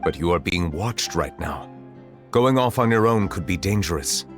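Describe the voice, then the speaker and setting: A man voicing a stern, composed male chief justice in a video game, speaking in a measured, formal and grave way. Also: deep voice, animated. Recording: game audio with faint music behind.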